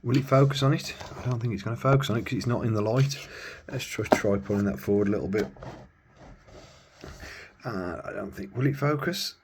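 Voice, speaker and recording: A man's voice: lowish voice, brisk, long pauses. A man giving a casual hands-on demonstration of gear, rambling a little and thinking aloud as he works. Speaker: radio hobbyist presenter. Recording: clear background noise.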